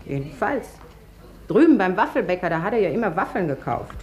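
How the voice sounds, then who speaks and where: An actress playing a familiar, gossipy tavern waitress in a 1950s radio drama, answering casually. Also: female voice, lowish voice, very animated, fairly quick, darkish tone. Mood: chatty, offhand. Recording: 1950s radio recording, faint hiss.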